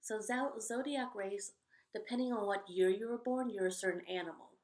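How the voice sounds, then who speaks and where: A woman's voice: medium pitch, normal speed, darkish tone. Woman reading a children's picture book aloud. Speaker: children's story read-aloud host. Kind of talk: picture book read-aloud with casual asides.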